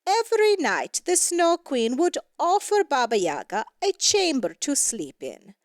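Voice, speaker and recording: A woman narrating a scripted fairy tale with expressive, deliberate emphasis. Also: mid-range voice, no echo, studio quality. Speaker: story narrator.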